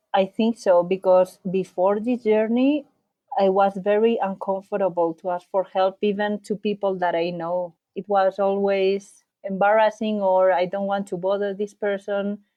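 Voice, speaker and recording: A woman from Spain, a guest answering interview questions in thoughtful, slightly halting English. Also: medium pitch, dull audio. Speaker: podcast guest, bike traveller.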